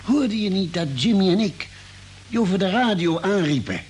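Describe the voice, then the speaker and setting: An actor playing a probing, concerned ship's doctor in a space radio play, with inquisitive, hesitant phrasing and musing pauses. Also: male voice, sits high, expressive, darkish tone. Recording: noisy, humming old radio drama recording.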